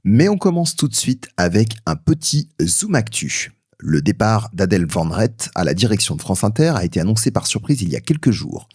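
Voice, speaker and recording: Deep-voiced, animated male podcast host. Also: moderate pace, breathy edge, dry recording, clean studio sound. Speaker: podcast host presenting news. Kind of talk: scripted podcast introduction and news narration.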